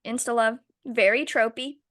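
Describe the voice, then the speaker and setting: Sick lady cohost, kinda high voice, dry close sound, blunt and sweary. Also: steady tone, moderate pace, fairly bright tone, no echo. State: sick, voice going in and out. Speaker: podcast co-host. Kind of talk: casual chat.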